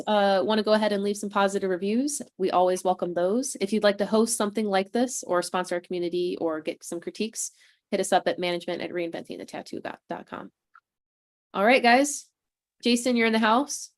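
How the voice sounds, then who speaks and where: A woman hosting a casual livestream on a video call. She is chatty and upbeat and tired after a convention weekend. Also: mid-range voice. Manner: chatty, upbeat, clears throat between announcements. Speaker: host.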